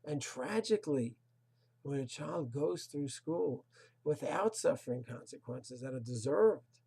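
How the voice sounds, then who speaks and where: A rabbi delivers a Torah lesson in a husky, slightly breathy voice. His tone is dark and mellow, earnest and moralizing, and he leans on rhetorical questions and Hebrew terms. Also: medium pitch, expressive, a little slow. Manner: sprinkles Hebrew and Yiddish terms. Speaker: rabbi teaching a Torah class. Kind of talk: recorded religious lecture.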